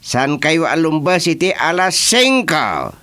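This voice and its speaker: A man's voice: fairly high pitch, big pitch swings, slow, sharp tone, heavily acted. A man playing a bossy, teasing village council chairman in a rural radio comedy drama, lecturing and dropping in English phrases. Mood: stern, official. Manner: lecturing, mixes English into his speech.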